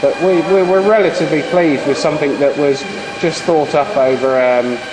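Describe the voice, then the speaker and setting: A man's voice: fairly high pitch, moderate pace. A man chatting as an amateur radio operator in a hesitant, rambling way, giving call signs. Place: large indoor beam engine room. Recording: clearly noisy room with machinery hum. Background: steam engines, machinery noise.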